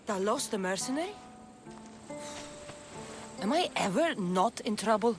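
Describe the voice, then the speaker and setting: A woman acts out a hard young female mercenary from a video game. Her delivery is dry, blunt and threatening, with a lively pitch range. Loud music plays behind her. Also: unhurried, natural voice. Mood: wry, weary.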